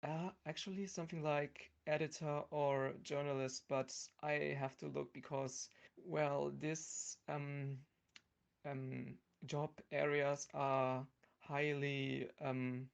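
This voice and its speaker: A man's voice: fairly high pitch, level tone, slow, creaky, clean tone, dull audio. A young man with a German accent chats casually in English as a second language, hesitating and searching for words. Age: young adult. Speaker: podcast guest, amateur theatre group member. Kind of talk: casual interview chat.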